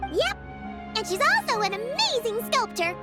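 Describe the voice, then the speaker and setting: A woman's voice: extremely high pitch, sing-song, normal speed, over loud music. A woman voicing a polite, sincere and earnest young woman in a video game. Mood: excited. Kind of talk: video game character voice acting.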